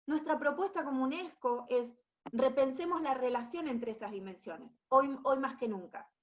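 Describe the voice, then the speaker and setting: A woman's voice: high voice, normal speed, highs cut off. A woman in her thirties from Argentina with an Argentine accent, giving an explanatory talk as an education specialist, often checking in with her listeners and restarting her phrases. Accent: Argentine Spanish. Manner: explanatory, frequent tag questions and restarts. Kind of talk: presentation talk.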